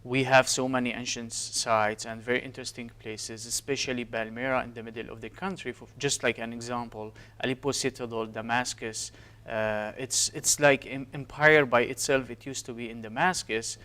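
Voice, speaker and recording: Syrian-accented man, smooth clear voice. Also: medium pitch, steady tone, normal speed, bright, forceful tone. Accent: Syrian Arabic. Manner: earnest, hesitant with frequent fillers. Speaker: guest, physician. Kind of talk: interview answers.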